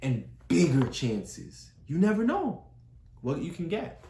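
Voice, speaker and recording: A woman with a notably low voice talks a child through a picture book, patiently explaining and circling back to the same phrases. The room around her is echoey. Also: wildly swooping pitch, takes it slowly, mellow, slight background noise. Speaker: parent reading with a child.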